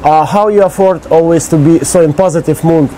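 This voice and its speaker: A Russian man hosts a casual interview in halting second-language English. His accent is Russian and his voice is fairly high and soft-edged. Faint ambient noise sits behind him. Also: poor audio. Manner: halting, simple phrasing in imperfect English. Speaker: interviewer and show host. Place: recorded on location on an island.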